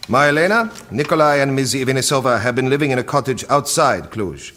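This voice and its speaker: A man acting in an audio drama as a cold, efficient project director, delivering a briefing in a precise, deliberate way. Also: mid-range voice, lively intonation, a bit bright, natural voice. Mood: matter-of-fact.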